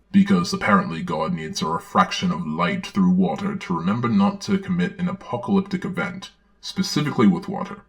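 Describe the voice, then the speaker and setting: Low, gravelly dark-lord storyteller played by a man. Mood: deadpan mockery. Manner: deadpan, sardonic, measured. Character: Darth Magog, droll dark-lord villain reading storybook aloud. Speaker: host and narrator. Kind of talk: dramatic reading with sardonic commentary.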